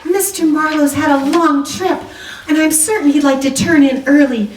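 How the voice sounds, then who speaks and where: A woman's voice: high-pitched, moderate pace, a little hiss or hum, close to an everyday voice. A woman acting a gracious, anxious wife and hostess in a radio drama, with a polite, affectionate manner. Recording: roomy, distant microphone.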